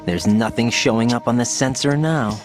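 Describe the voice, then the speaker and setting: A man's voice: mid-range voice, moderate pace, lightly acted. An actor playing a young male special-forces operative in a video game cutscene, earnest with clipped reports. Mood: earnest, alert. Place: recording studio. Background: game score music.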